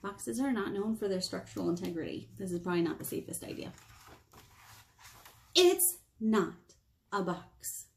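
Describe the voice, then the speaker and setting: A woman in her thirties, a children's librarian, reading picture books aloud in a lively, sing-song way with whispers, recorded close on a webcam in a small room. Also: mid-range voice, normal speed, vocal fry, pauses often. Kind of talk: storytime read-aloud for children.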